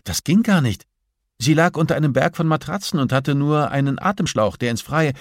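A man's voice: on the high side, lively intonation, brisk, dry recording, clean studio sound, pitched above the performer's own voice. A man voicing an anxious female police investigator in an audiobook, flustered under pressure, hesitant and agitated as they grope for details. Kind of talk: audiobook dialogue acting.

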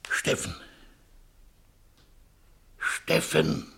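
An actor playing an anxious, courteous middle-aged man in a radio drama, with a gravelly, husky voice and a hesitant, muttering way of talking. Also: drawn-out words, a bit bright, in a whisper. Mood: musing, tender. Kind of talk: literary radio drama acting.

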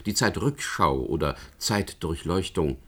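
Seasoned bureaucrat, very low voice, animated intonation, world-weary, polite, radio drama. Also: male voice, unhurried, breathy edge, full character voice. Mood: polite, evasive. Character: weary, affable male department head, seasoned bureaucrat. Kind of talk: German radio play acting.

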